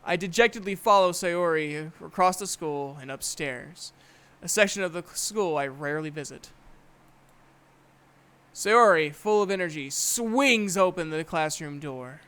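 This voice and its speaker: A woman voicing a reluctant, sardonic high-school boy who narrates his thoughts in a visual novel fan dub. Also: lively intonation, measured pace, fairly bright tone, clean tone. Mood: dry narration.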